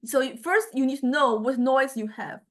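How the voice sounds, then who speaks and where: A woman with a fairly high voice teaches as a university lecturer. She walks through a software demonstration informally, in a halting, explanatory way, often pausing to check that listeners follow.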